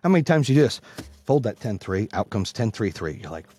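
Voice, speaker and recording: A man giving a brash, profane, emphatic motivational monologue in a husky voice, repeating phrases for punch. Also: lowish voice, sing-song, brisk, warm tone. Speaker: motivational speaker and host.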